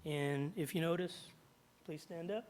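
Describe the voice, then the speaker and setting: A middle-aged man, slightly hoarse after jaw surgery, reading prepared remarks at a podium in a large meeting hall and stopping often to clear his throat. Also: a little high, a little slow, a little mellow, a little hiss or hum. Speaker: union chapter president. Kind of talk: public comment at a board meeting. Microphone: podium microphone.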